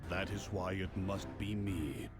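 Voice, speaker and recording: An actor voicing a stern, dutiful stone warrior in a video game, solemn and declamatory in delivery. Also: male voice, deep voice, steady tone, unhurried, over music. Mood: grave resolve. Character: stern, dutiful stone earthen warrior, resolute unto death. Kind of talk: dramatic game voice acting.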